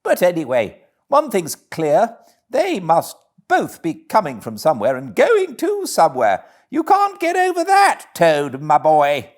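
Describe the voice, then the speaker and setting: A man voicing a conceited, excitable male toad in a storybook, exclaiming to himself with self-congratulation. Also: high-pitched, unhurried, voice pushed up, heavily acted. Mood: indignant, musing.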